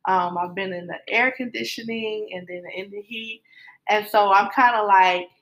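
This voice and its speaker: A woman's voice: medium pitch, steady tone, moderate pace, fairly bright tone, rough recording. Chatty woman telling a story. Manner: rambling, many fillers and restarts, laughs easily. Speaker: guest telling her love story. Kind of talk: casual conversational storytelling.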